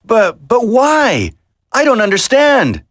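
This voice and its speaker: A loyal young commando hero in a video game drama. His earnest, emphatic voice swings widely in pitch and is recorded dry. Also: huge pitch swings, takes it slowly, fairly bright tone, dry recording, exaggerated voice. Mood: pained, incredulous.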